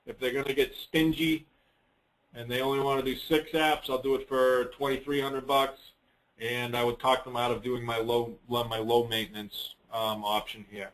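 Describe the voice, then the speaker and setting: A man giving a casual, unscripted software walkthrough on a conference call, rambling a little and thinking aloud as he presents. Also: medium pitch, level tone, moderate pace, low-quality recording.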